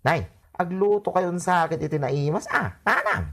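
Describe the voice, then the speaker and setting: A man's voice: on the high side, normal speed, fairly bright tone. A man with an Ilocano accent playing a cheeky, stubborn, wisecracking husband in a comedy radio drama. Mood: playful. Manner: wisecracking, exclamatory, argumentative. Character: cheeky, stubborn husband and grown son, joking glutton. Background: faint music.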